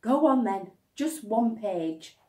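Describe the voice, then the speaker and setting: A woman's voice: a little high, lively intonation, takes it slowly, darkish tone, roomy sound, distant mic, voice pushed up. A woman voicing a weary, exasperated mother, firm and sighing, in a storybook reading. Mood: resigned. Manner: firm, sighing, long-suffering.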